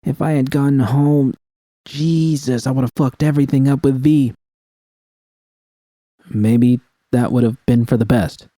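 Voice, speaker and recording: A man's voice: mid-range voice, dark tone, dry recording. A man narrating scripted first-person fiction as an audiobook reader.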